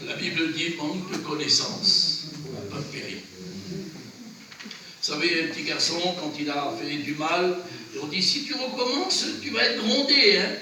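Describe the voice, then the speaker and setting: A man's voice: a little high, expressive, measured pace, bright, forceful tone. A man preaching a sermon in church, who has a cold and a runny nose, exhorting earnestly in short phrases. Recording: very echoey church, from far off, noisy.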